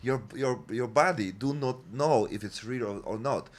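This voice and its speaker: A man hosting a podcast, talking in animated, broken English and swearing casually. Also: mid-range voice, moderate pace. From Poland. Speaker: podcast host. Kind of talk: casual conversation.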